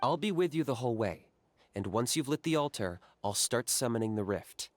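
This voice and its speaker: A man voicing a calm, practical young warrior in a video game, giving instructions in a cool, level way. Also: medium pitch, moderate pace, natural voice.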